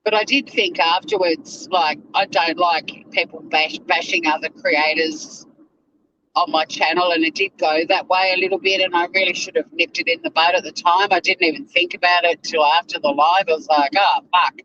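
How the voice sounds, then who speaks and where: A high-voiced Australian man chats away to his livestream viewers, animated and loose-tongued, with a slightly whiny edge to his voice. The sound is dull and lo-fi, with a faint hiss underneath. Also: normal speed. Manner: chatty, animated, swears freely. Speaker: livestream host. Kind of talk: casual livestream chat to viewers.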